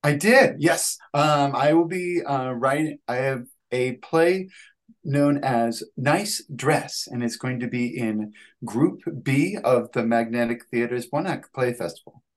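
A man in his thirties answering interview questions on a video call, with halting phrasing and repeated words. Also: medium pitch. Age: 30s. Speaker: guest, playwright and actor.

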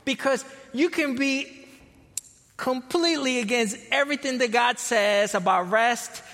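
Costa Rica-born male preacher, high voice, repeats his starts, big echoing hall. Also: unhurried, a bit bright. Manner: storytelling, repeats words when starting sentences. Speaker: pastor. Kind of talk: sermon.

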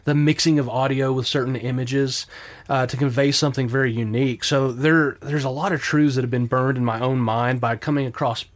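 A man co-hosting a faith podcast, speaking thoughtfully with frequent pauses and fillers. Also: medium pitch, fairly even intonation. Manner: thoughtful, hesitant, many fillers. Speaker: podcast co-host. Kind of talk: casual podcast chat.

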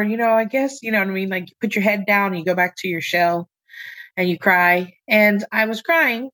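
Woman, low voice, lively dramatic storyteller, interview guest. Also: rough recording. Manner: animated, dramatic storyteller, acts out quoted voices. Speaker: guest, singer and nurse. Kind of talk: interview conversation.

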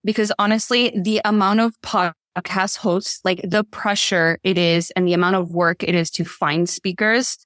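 A woman's voice: lowish voice, steady tone, moderate pace, few pauses, fairly bright tone, no echo. Casual woman coach, native Spanish speaker, dry sound. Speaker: podcast guest, leadership and visibility coach. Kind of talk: casual interview conversation.

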